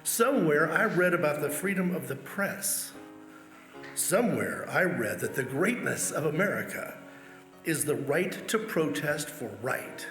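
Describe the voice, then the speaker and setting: A man's voice: a little high, expressive, unhurried, fairly bright tone. A man reading out famous speech quotations as a church member. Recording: echoey hall, music underneath. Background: music.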